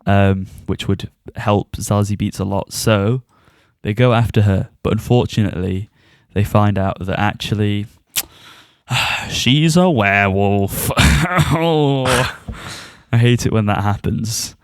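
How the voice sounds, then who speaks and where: A man's voice: fairly low pitch, takes it slowly, smooth, no echo, clean studio sound. A man co-hosting a podcast, giving a casual review and often chuckling as he talks. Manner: chuckles often while talking.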